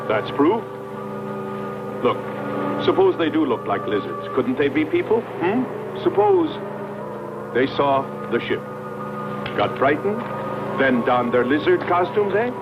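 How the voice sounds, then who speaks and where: Persuasive space explorer, dull old soundtrack. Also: male voice, on the high side, big pitch swings, unhurried, pauses often, heavy background noise. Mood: playful, insistent. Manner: persuasive, rhetorical, musing. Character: eager, argumentative male space explorer convinced of alien intelligence. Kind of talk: 1960s dubbed science fiction film acting. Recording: dull old film soundtrack, loud music.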